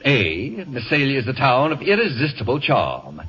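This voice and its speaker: An actor playing a pompous, imperious celebrity house guest who delivers sardonic insults in 1950s radio comedy style. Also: male voice, medium pitch, sing-song, normal speed, fairly bright tone, husky, full character voice. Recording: dull lo-fi old radio broadcast.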